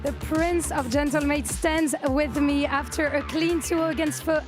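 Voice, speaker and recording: Upbeat female post-match interviewer with a high voice. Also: fairly even intonation, normal speed, over music. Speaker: stage interviewer.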